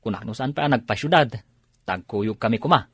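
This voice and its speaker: A man's voice: mid-range voice, animated, fairly quick, fairly bright tone, husky. A man with a Filipino accent playing a respectful, earnest young village laborer in a radio drama.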